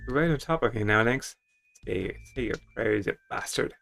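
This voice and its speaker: A man's voice: medium pitch, lively intonation, moderate pace, gravelly, fairly bright tone, soft background music, pitched below the performer's own voice. Man doing a brash, hot-tempered young adventurer woman. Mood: menacing.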